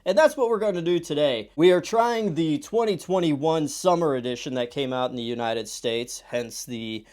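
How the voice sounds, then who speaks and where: A man's voice: fairly high pitch, moderate pace, few pauses. A man in his thirties, stuffed up from a cold, chatting casually through a soda review at his desk at home. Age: 30s. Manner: chatty and animated, acts out quoted voices. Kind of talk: casual product review vlog. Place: home room with shelves of soda cans. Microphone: camera at desk distance.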